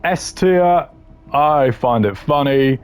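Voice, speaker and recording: A man's voice: medium pitch, slow, clear, resonant tone. A man giving casual, opinionated gaming commentary in a blunt, slangy way, ranking voice lines. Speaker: gamer ranking game voice lines.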